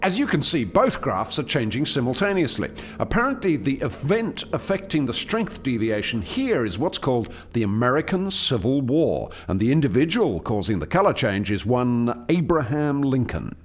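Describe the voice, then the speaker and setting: A man's voice: medium pitch, sing-song, normal speed, few pauses. An actor playing an earnest alien scientist in a radio play, hesitant and deferential as he explains and defends his work. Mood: calm, explanatory.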